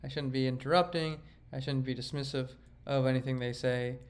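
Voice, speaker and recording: A man's voice: mid-range voice, fairly even intonation, a little fast. A man, a comedian, talks through his own stand-up set in a reflective, self-critical way, rambling with frequent restarts. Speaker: comedian and vlogger.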